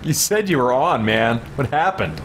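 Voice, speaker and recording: A man's voice: mid-range voice, expressive, moderate pace. A man giving casual gameplay commentary in an easygoing way, as if talking to himself. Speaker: host of a gaming stream. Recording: noisy, game engine sounds underneath.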